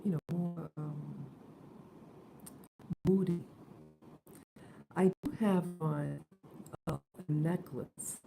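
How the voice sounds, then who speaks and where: A woman just awake, hoarse and soft-spoken, talking hesitantly in a casual morning vlog. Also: lowish voice, a little hiss or hum, poor audio. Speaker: vlogger.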